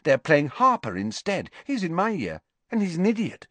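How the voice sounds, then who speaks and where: A man voicing a cheerful, confident teenage schoolgirl athlete in an audiobook, brisk, blunt and gossipy. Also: a little high, huge pitch swings, husky, no echo, pitched above the performer's own voice, exaggerated voice. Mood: bright, gleeful.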